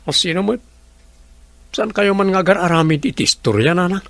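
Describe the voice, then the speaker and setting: A man's voice: sits high, brisk, a bit bright, no echo. A man with an Ilocano accent acting an evasive, sweet-talking unfaithful husband in a radio drama. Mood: defensive.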